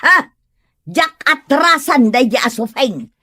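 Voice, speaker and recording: Woman acting a high-pitched, quarrelsome old mother. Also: big pitch swings, slow, pushed and bright, slightly rough, no room sound, clean studio sound, full character voice. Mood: stubborn, scornful. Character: quarrelsome, boastful elderly mother, stubborn and combative. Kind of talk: comedic Ilocano radio-style drama acting.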